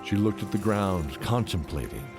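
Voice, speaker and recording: A man's voice: lowish voice, moderate pace. A man narrating a scripted fantasy story as the narrator of an audio drama. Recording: music under the voice.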